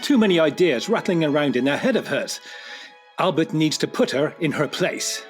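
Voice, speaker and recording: A man's voice: on the high side, very animated, normal speed, faint music behind. Grumbling man, widely swooping. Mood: grumbling, disapproving. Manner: grumbling, domineering. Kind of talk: audio drama acting.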